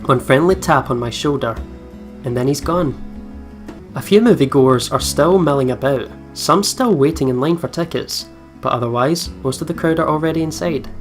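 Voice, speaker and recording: Let's player for a visual novel: narrates the story, chats casually, soft guitar music behind. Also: medium pitch, moderate pace. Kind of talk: reading game narration with casual commentary. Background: soft guitar game music.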